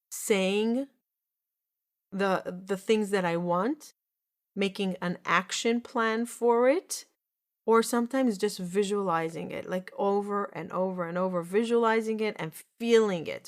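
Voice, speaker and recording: A woman in her forties hosts a solo podcast, sharing a personal story. She speaks at a middle pitch with an earnest, heartfelt manner, repeating words for emphasis and often restarting her sentences. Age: 40s. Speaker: podcast host.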